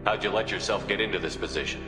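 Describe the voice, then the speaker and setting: A man's voice: lowish voice, quick pace, close to an everyday voice. A man playing a stern, no-nonsense military commander in a video game, speaking in a firm, terse, businesslike way. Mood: resolute. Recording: echoey reverb with music behind.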